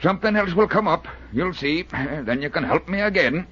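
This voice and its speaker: An actor playing a kindly, hopeful older amateur inventor in a radio drama, with a folksy, halting, chuckling manner. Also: male voice, fairly high pitch, expressive, fairly bright tone, breathy edge. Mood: cheerful, reassuring. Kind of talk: old-time radio drama acting. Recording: dull vintage radio recording.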